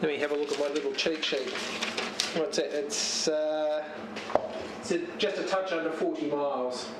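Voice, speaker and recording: A man giving a casual, unscripted show-and-tell as a radio hobbyist, speaking haltingly and searching for words. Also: fairly high pitch. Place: home radio shack. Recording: roomy, distant mic with steady noise.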